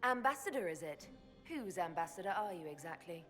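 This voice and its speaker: An actress playing a composed, wry elven heroine in a fantasy game, with a dry, questioning manner. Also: female voice, on the low side, lively intonation, fairly quick, fairly bright tone, long reverb tail, faint music behind. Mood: skeptical. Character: cool, wry elven woman inquisitor. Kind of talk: dramatic game dialogue.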